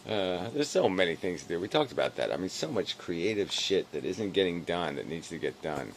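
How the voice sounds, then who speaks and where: A man rambling casually in a weary, low-energy, self-deprecating way, halting and swearing as he talks to camera. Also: very animated, slight background noise. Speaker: video blogger.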